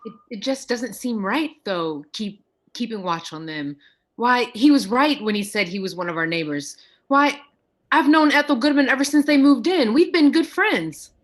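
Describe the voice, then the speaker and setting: A woman's voice: on the high side, animated, normal speed. A woman playing a distressed, earnest neighbor in a radio drama, speaking in a fretful way. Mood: worried. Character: distressed, earnest female neighbor defending a friend. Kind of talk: radio play acting.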